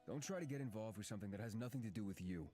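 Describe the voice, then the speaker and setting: A man voicing a gruff, sceptical young man in a visual novel, blunt and sardonic. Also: fairly low pitch, rapid-fire, mellow, natural voice. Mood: sceptical, stern. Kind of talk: visual novel character reading. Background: music.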